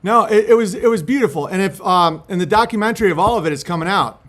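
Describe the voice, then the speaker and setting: A man's voice: high-pitched, fast talker, fairly bright tone. A man chatting casually in slangy, sweary language as a host, partly reading a letter aloud. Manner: laid-back slangy talk with frequent swearing.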